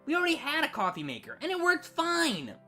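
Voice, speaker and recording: A woman's voice: high-pitched, huge pitch swings, a little fast, bright, forceful tone, soft background music, heavily acted. A woman voicing a surly, cynical siren in a storybook reading, grumbling in a dismissive, needling way. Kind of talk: storybook fan fiction reading.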